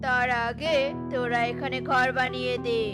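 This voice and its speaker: A woman's voice: little pitch movement, normal speed, lightly acted. A woman voicing a greedy old male holy man who cons birds, commanding and condescending, over music. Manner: commanding, condescending, booming laugh.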